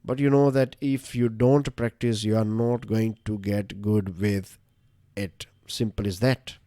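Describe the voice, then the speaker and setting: A man's voice: fairly low pitch, steady tone, a little slow, dark tone, no room sound, studio quality. An Indian man with a Gujarati-influenced accent, a Gujarati native speaker, giving a casual current-affairs lecture with lots of fillers and rhetorical questions. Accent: Gujarati-influenced Indian English. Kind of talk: conversational exam-prep lecture.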